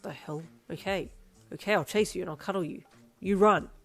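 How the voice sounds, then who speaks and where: A woman's voice: on the low side, fairly quick, faint music behind. A woman voicing a young man who teases his partner, then tries to placate her in confusion. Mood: bewildered. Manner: laughing, placating, bewildered.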